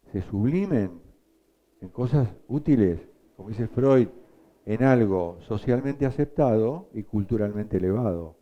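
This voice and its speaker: A man teaching a psychoanalysis class, explaining slowly and quizzing his students. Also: fairly low pitch, muffled recording. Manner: slow, explanatory, asks the class questions. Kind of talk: lecture.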